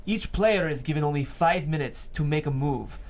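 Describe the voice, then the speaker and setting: A man voicing a stern, patient crime boss in a drama table read, measured and deliberate. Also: on the high side, animated. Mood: measured, lecturing.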